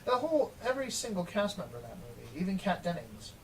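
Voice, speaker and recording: Lively high-voiced American man. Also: quick pace, a little hiss or hum. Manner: enthusiastic, laughing often. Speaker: co-host film reviewer. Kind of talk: casual chat. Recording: distant mic with faint music.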